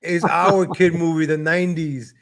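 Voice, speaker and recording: A man calls in to a live stream, his voice a touch high. He speaks casually and laid-back, sometimes stumbling over his words. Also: flat delivery, normal speed. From born in Ecuador, grew up in New York. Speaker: caller. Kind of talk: casual chat.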